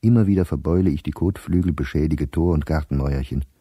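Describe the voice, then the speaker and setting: Radio drama: weary, embittered professor, diarist. Dark, husky low voice, precise and terse delivery. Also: male voice, fast, no room sound, studio quality. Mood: exasperated. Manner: precise, clipped telegraphic diary phrasing. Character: weary, bitter middle-aged male professor reading his diary. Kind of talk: radio play diary monologue.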